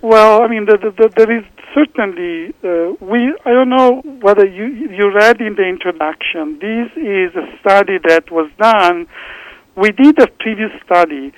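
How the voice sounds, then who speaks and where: A man's voice: sits high, expressive, no room sound, poor audio. A man from Italy with an Italian accent, a researcher answering interview questions with frequent restarts and fillers. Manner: halting, many restarts and fillers. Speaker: researcher guest.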